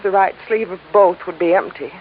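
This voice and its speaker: A woman's voice: on the low side, a little fast, lightly acted. A woman playing an anxious, guarded young client in an old-time radio crime drama, speaking politely and hesitantly. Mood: anxious, earnest. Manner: polite, formal, hesitant, earnest. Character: anxious, refined, guarded young woman seeking her missing brother. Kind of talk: 1940s-50s radio drama acting. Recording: tinny, noisy old radio recording.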